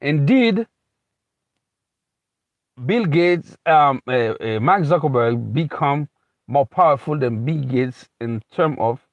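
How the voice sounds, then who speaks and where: A man's voice: on the high side, no echo, lo-fi sound. A man from Haiti giving a rambling, unscripted motivational talk as a speaker, repeating himself and restarting phrases often. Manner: rambling, repetitive, frequent restarts and "you know". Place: lives in America.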